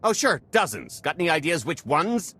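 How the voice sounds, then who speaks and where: A man's voice: on the high side, sing-song, moderate pace, pushed and bright, slight background noise. A man voicing a cocky, wisecracking smuggler in a video game, glib, sly and sardonic. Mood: exasperated.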